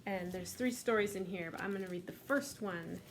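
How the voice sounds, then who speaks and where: A woman librarian leading a children's storytime, reading aloud gently and unhurriedly with a few fillers. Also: medium pitch, fairly quick, noisy background.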